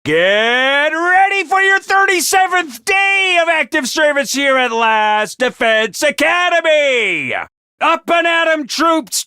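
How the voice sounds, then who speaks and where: An actor playing a theatrical, scheming schoolmaster who barks cheerful orders at troops and then drops to low, calm menace. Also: male voice, no echo, clean studio sound, exaggerated voice. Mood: shouting cheerfully. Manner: booming, swings between shouted cheer and low menace. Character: manic theatrical male drill-sergeant schoolmaster, scheming. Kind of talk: video game voice lines.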